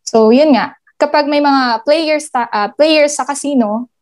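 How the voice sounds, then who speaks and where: A Filipino woman answering interview questions on a video call, switching languages and pausing on fillers. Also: sits high, a little mellow. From Philippines. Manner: code-switching, hesitant with fillers. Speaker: guest, gaming industry supervisor.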